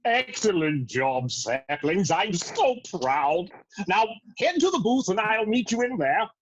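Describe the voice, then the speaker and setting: A woman's voice: mid-range voice, big pitch swings, slow pace, dull audio, slight background noise. A woman playing a dutiful, easily flustered bird troop leader. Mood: proud.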